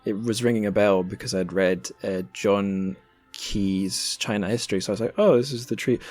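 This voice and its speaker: Guy with a lowish voice chatting on a podcast, telling little stories. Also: normal speed, darkish tone, soft background music. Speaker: podcast host. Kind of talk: casual chat, telling anecdotes.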